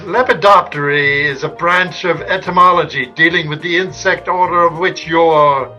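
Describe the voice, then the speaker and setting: Pompous scientist male actor, pedantic and deliberate, highish sharp tone, old radio comedy. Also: lo-fi sound, faint music behind. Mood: pedantic, marveling. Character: pompous, genteel male scientist, fussy caterpillar expert.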